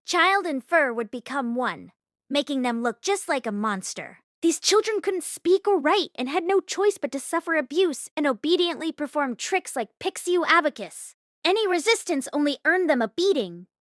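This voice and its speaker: A woman narrating a story aloud in an expressive read-along style. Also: high-pitched, normal speed, no room sound, studio quality. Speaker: audiobook read-along host.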